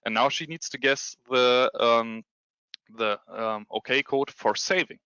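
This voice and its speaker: German-accented male presenter on a video call, halting and full of fillers, bright crisp tone. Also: mid-range voice, no echo, lo-fi sound. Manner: hesitant, many ums and restarts. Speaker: security consultant presenting a demo. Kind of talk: webinar presentation with screen share.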